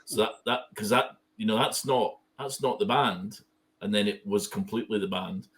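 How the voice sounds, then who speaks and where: A man speaks in a reflective, hesitant way, restarting his sentences as he works his thoughts out. His voice sits on the low side and keeps a plain conversational tone. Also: moderate pace, a bit bright. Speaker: guest fan. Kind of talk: casual conversation.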